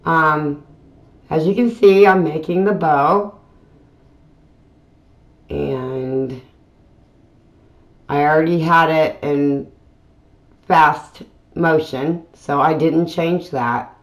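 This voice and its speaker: A woman hosting a craft channel, talking through a tutorial in a casual voiceover with plain, unhurried step-by-step explaining. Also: deep voice, fairly even intonation. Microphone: voiceover mic.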